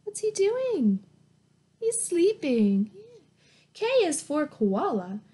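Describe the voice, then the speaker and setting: Animated, slow-paced woman reading to children. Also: high voice. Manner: slow, sing-song, encouraging way of talking to young children. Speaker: teacher reading aloud to young children. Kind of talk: children's picture-book read-aloud with simple questions and praise. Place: small plain indoor room at home. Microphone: webcam or laptop mic at arm's length.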